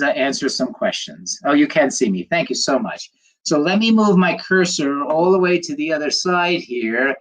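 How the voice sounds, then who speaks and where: A man's voice: fairly high pitch, low-quality recording. An older man speaks with a slight nasal tone from a cold. He talks in a chatty, rambling way, full of ums and uhs. He greets viewers before settling into a medical talk over a livestream computer mic. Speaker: fertility doctor giving a livestream lecture.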